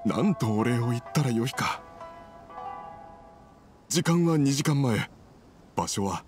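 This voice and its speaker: A foreign merchant from a video game, troubled and apologetic. His speech is formal and hesitant, rising and falling expressively over clearly audible music. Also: medium pitch, expressive, takes it slowly, darkish tone. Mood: grateful. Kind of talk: Japanese game dub dialogue.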